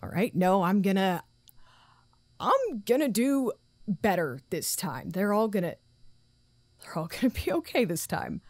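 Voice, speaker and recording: An actress playing an anxious but determined woman muttering haltingly to herself in an audio drama. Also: mid-range voice, sing-song, a little mellow, no room sound. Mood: shaken resolve. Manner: halting, sighing, repeating herself.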